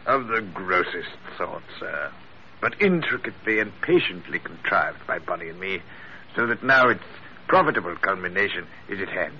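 Florid villain gent, gloating, very swoopy voice, old muffled radio show w/ faint hiss. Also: male voice, sits low, lively intonation, moderate pace. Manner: florid, formal, grandiose speech. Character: theatrical, gloating gentleman blackmailer, male villain. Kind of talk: classic radio drama acting.

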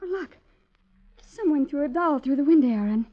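An actress playing a lovestruck, devoted woman in a 1950s radio drama, with a breathy, dreamy, melodramatic delivery. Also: high-pitched, lively intonation, moderate pace, highs cut off. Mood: anxious, bewildered.

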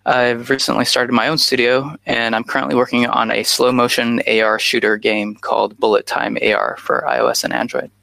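A man in his thirties delivers a prepared conference talk, explaining earnestly and leaning on frequent "uh"s. His voice has a gravelly edge with a bright, forward tone. The sound is dry and close, with no room around it. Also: medium pitch, no echo. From Chicago, USA. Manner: filler-heavy, earnest, explanatory. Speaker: conference speaker. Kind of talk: prepared talk over a remote connection.

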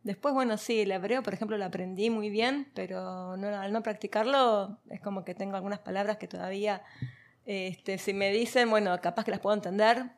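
A woman's voice: mid-range voice, fairly even intonation, brisk. A woman from Argentina, a guest in an interview, speaking reflectively with many fillers and light laughs. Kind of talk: conversational interview.

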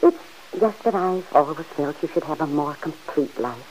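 An actress playing a gentle, worried older aunt in a 1940s radio drama, speaking softly and hesitantly. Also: female voice, low-pitched, expressive, moderate pace, faint music behind, low-quality recording.

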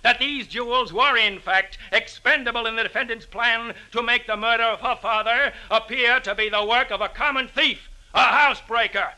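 An actor playing a forceful district attorney in an old radio drama, formal and declamatory as in court. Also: male voice, very high voice, moderate pace, hardly any pauses, heavily acted, low-quality recording. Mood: accusing. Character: forceful male district attorney prosecuting a murder trial. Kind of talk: old-time radio acting, courtroom oratory.

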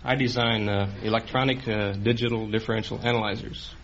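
A man's voice: on the low side, steady tone, brisk, a bit bright. A man answering interview questions as a contestant, hesitant and matter-of-fact. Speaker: quiz contestant, computer designer. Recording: dull old radio broadcast, faint noise.

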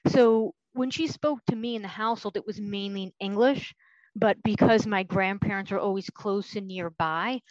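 A woman's voice: mid-range voice, steady tone, moderate pace, darkish tone, no room sound. A woman with a mid-range voice who talks through her answers carefully and thoughtfully. The audio is dry with no room sound and a little muffled. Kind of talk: casual podcast conversation.

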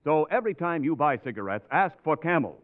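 A man's voice: fairly high pitch, big pitch swings, a little fast. An American man announcing in an emphatic, polished, declamatory 1940s radio commercial style. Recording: tinny old radio recording with music.

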